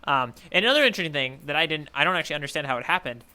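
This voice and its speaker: Man, bright crisp voice, podcast co-host, casual comedic chat, thinking aloud. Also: fairly high pitch, expressive, rapid-fire. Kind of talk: casual comedic manga discussion.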